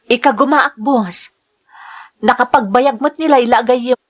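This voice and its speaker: A woman's voice: on the high side, measured pace. A woman playing an earnest, eager middle-aged worker in an audio drama, with an Ilocano Filipino accent. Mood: eager, respectful. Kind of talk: radio-style drama acting.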